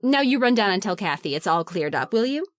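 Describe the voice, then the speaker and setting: An actress playing a trusting, forgiving wife in an old-time radio suspense drama. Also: female voice, mid-range voice, big pitch swings, a little fast, no room sound, clean studio sound, lightly acted. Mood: brisk. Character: trusting, forgiving wife, guarded then sweetly relieved.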